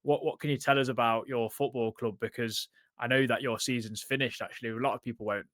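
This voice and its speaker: A man's voice: mid-range voice, steady tone, quick pace, a bit bright, no echo, clean studio sound. A man from England hosting a football podcast in a chatty way, with plenty of fillers and restarts. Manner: chatty, fillers and repeated words. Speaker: podcast host. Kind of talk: casual podcast chat.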